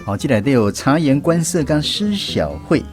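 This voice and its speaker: A man's voice: medium pitch, expressive, normal speed. A man with a Taiwanese accent, speaking as a radio host leading a language quiz segment. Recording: music playing behind the voice. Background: instrumental music.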